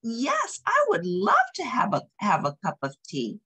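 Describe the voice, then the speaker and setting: A woman's voice: high-pitched, huge pitch swings, measured pace, gravelly, sharp tone, slightly rough, voice pushed up, heavily acted. A woman voicing a small, lonely female mouse in a storybook, polite and eager in manner.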